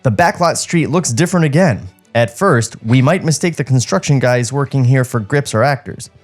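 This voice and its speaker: A man narrates a script for a comedy podcast with wry asides. His mid-pitched voice is very animated, with music in the recording. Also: normal speed. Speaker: podcast host reading stage directions.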